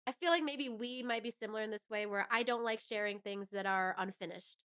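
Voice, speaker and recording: A woman with a bright, cleanly resonant voice talks as a guest in a relaxed interview. She sounds thoughtful and self-reflective as she explains herself in a conversational way. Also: a little high, fairly quick, clean tone. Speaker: interview guest, musician and actor.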